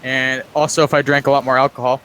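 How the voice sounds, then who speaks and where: A man's voice: mid-range voice, flat delivery, fast. A man with a scratchy voice chatting casually and rambling as he hosts a video game let's play on a basic home microphone. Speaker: gaming let's-play host. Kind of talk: casual rambling gameplay chat. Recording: noisy home microphone recording.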